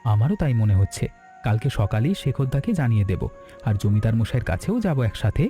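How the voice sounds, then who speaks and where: A man's voice: on the low side, sing-song, a little fast, dark tone, faint music behind. A man playing an earnest, polite village hotel owner in a cartoon moral story.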